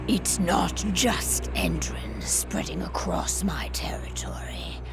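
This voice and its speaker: Slow, gravelly, proud pack leader. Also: female voice, exaggerated voice. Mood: hostile, proud. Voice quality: breathy, gravelly low voice. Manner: deliberate, halting, solemn. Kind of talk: video game cutscene acting.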